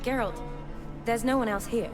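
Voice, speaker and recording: A woman's voice: fairly high pitch, moderate pace, over music, close to an everyday voice. An actress playing a concerned, exasperated, spirited young woman in a video game, earnest and questioning. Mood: puzzled.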